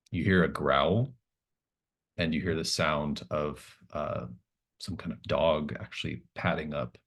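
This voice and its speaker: A man's voice: a bass voice, creaky. A man narrating a tabletop roleplaying game as game master, improvising in a casual, conversational way.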